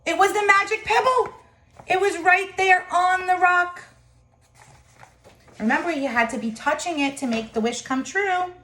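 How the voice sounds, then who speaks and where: A woman reading a children's picture book aloud in an expressive way, pausing to comment to her listeners. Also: very high voice. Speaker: storybook reader. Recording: echoey room.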